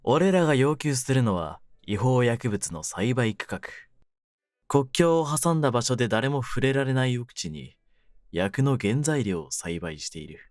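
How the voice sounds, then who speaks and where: A man playing a menacing young mafia kidnapper in an intimate voice drama, speaking low with rough, smug, teasing words. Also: no echo, clean studio sound, close to an everyday voice. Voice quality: low-pitched, hushed at menacing moments. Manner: rough masculine speech, smug mock politeness. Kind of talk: ASMR situation voice drama, intimate one-sided roleplay.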